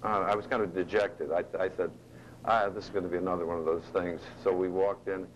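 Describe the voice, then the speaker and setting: A man answering interview questions in a halting way, with many fillers and restarts. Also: deep voice, flat delivery, highs cut off, slight background noise.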